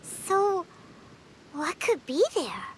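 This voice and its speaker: A woman voicing a small, excitable, naive childlike fairy companion in a video game, chirpy and exclaiming. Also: very slow, a little hiss or hum, full character voice. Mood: curious. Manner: squeaky, exclaiming, refers to self in third person.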